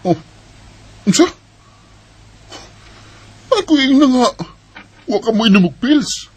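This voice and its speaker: A man's voice: very high-pitched, animated, slow, darkish tone, husky, full character voice. An actor playing a callous young bachelor who refuses fatherhood, accusing and dismissive, with a Filipino Cebuano accent in a radio drama. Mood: defensive, accusing. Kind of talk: Philippine radio drama acting.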